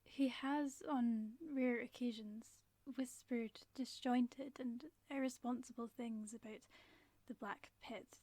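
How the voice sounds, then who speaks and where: An actress playing a haunted woman professor who solemnly narrates a grave warning in a 1930s radio drama, with formal, deliberate phrasing. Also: a little high, darkish tone, no echo.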